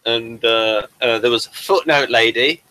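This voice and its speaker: Bright-voiced man chatting loosely. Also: mid-range voice, measured pace, no room sound, rough recording. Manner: rambling, loose and chatty, addresses viewers as folks. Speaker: host and playwright. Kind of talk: casual conversational interview.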